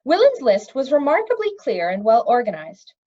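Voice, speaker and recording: A woman reading a children's fantasy novel aloud in an expressive storytelling style. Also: fairly high pitch, normal speed, muffled recording. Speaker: storybook reader. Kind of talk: expressive read-aloud of a children's fantasy novel, pausing to question young listeners.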